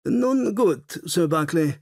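A self-assured Victorian detective , read by a male audiobook narrator, courteous and keen. He speaks formally and briskly in a slightly high, husky voice, recorded dry. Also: animated, darkish tone, no echo, full character voice. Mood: decisive.

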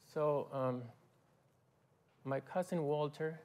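Man from Argentina, middle-aged, halting casual talk, studio lapel mic. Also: fairly high pitch, steady tone, darkish tone. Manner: halting, with many fillers and restarts. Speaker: guest musician. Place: TV studio set.

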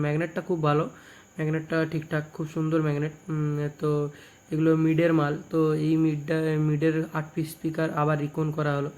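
A young man with a West Bengal Bengali accent, casually presenting repair work in a halting, repetitive way, phrase by phrase. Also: a little high, darkish tone. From West Bengal, India. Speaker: speaker repair technician and video presenter. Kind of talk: casual product showcase narration.

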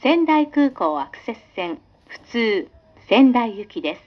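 A woman's voice: a little high, very animated, a little slow. A woman giving a scripted train announcement in a polite, formal, carefully enunciated manner. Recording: dull lo-fi audio with train noise. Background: train running noise.

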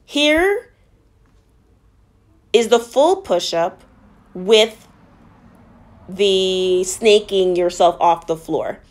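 A woman's voice: mid-range voice, animated, very slow, long pauses. A woman narrating a workout as a fitness instructor, explaining each move in an encouraging, instructional way.

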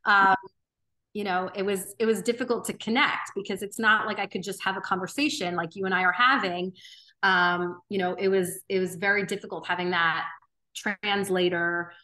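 Woman, 30s, interview guest; bright tone, earnest, unhurried, thinking aloud. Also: medium pitch, steady tone, low-quality recording. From Romania. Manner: earnest, thoughtful, frequent ums and restarts. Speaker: podcast guest. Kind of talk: reflective interview conversation.

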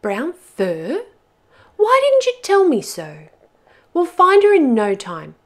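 Woman acting storybook butterfly, eager helper, high lilting pitch, unhurried, encouraging, a little muddled. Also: wildly swooping pitch, heavily acted. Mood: eager, confident. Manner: bright-eyed, encouraging, exclamatory.

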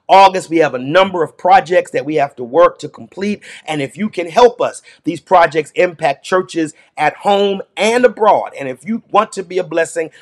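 A middle-aged man with a Southern American accent, a pastor leading closing prayer and announcements with fervent, exclamatory praise, recorded close on a webcam in a small home studio. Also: fairly high pitch. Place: small indoor home studio before a green screen.

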